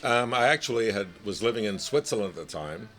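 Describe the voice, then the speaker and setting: A chef from Nigeria calmly recounting his career in a casual interview. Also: lowish voice, quick pace.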